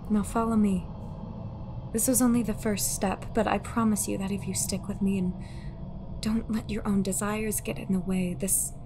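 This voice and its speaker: A woman playing an eerie, coolly commanding fortune teller in an audio roleplay, speaking in a measured, deliberate way. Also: mid-range voice, little pitch movement, noisy background, natural voice. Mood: calm, commanding.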